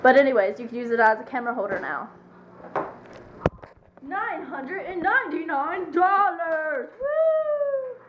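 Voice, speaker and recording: Young woman goofing around on a home cam, kinda high voice, clicky noisy living room recording. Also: sing-song, a little slow, muffled recording. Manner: playful, goofy and hyper, teasing. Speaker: vlogger filming family. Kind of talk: casual home video chat. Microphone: camera propped on a counter nearby. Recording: home camera with clicking noise.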